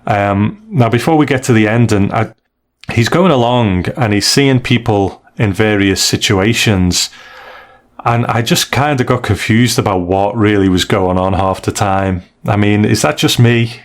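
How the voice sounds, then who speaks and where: A man co-hosting a casual review chat, speaking in a measured, understated way. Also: lowish voice. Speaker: podcast co-host.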